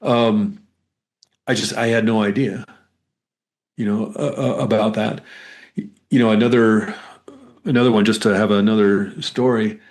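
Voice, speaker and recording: A man with a fairly low voice speaks as a guest in a conversational interview. He explains and tells stories in a rambling manner, often halting and repeating words as he goes. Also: steady tone, darkish tone. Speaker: guest, hypnotherapist.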